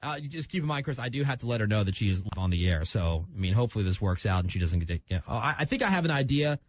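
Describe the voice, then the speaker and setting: A man's voice: lowish voice, sing-song, very fast. A man hosting a conversational live radio show, talking informally to listeners and a caller.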